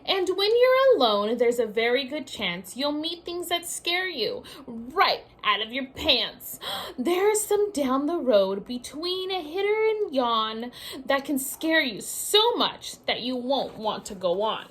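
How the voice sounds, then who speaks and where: A woman teacher doing an expressive children's read-aloud, speaking directly to her young listeners in an animated way. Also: sits high, slow pace. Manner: animated, addresses children directly with questions and asides. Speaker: teacher reading a story aloud to young pupils.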